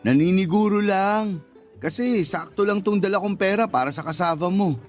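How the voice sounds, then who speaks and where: A man's voice: high-pitched, moderate pace, soft background music, close to an everyday voice. A man acting a teasing, naive customer and suitor in a Tagalog radio drama, joking and placating.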